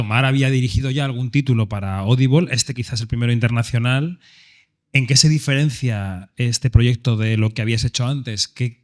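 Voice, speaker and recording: A man moderating a festival press panel, introducing guests and asking questions in a chatty, informal way. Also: medium pitch. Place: event hall at a film festival.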